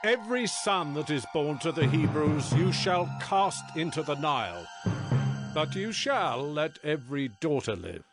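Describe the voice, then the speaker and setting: A man's voice: a little high, big pitch swings, a little slow, over music. A man playing a stern, commanding king of Egypt in dramatized scripture audio, declaiming imperiously.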